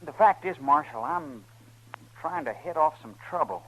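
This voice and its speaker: An eighteen-year-old ranch boy in an old radio western. His voice is fairly high and very animated. He is earnest and anxious, speaking with hesitant respect. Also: moderate pace, rough recording. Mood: nervous, respectful. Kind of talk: 1950s radio western acting. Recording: old radio drama recording.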